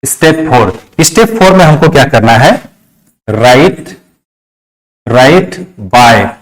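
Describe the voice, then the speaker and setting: A man's voice: mid-range voice, expressive, slow, low-quality recording. A man teaching a grammar lesson step by step, repeating phrases, asking and answering his own questions, and mixing in English terms. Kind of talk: classroom lesson, step-by-step explanation.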